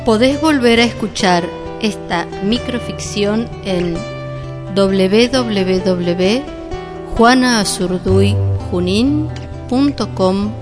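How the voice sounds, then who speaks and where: Slow Argentine woman announcer over guitar. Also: mid-range voice. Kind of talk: scripted radio credits. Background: guitar music.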